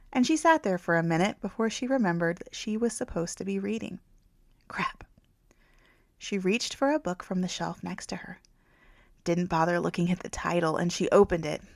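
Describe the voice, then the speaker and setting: Female narrator, gravelly fry, hushed phrase endings, dramatic romance storytelling, close dry recording. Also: mid-range voice, no echo. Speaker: author reading own audiobook. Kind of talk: scripted narration, romance audiobook.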